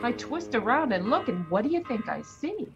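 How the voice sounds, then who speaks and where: A woman's voice: on the high side, normal speed, dull audio, faint music behind. A woman playing a feisty, flustered, slangy cab driver in a 1940s radio drama. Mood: indignant, recounting. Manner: slangy, excitable storytelling.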